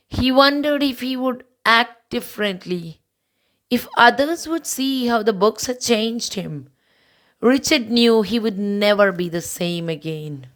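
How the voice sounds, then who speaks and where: A woman reading a children's picture book aloud as a storybook narrator. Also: mid-range voice. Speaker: storybook reader.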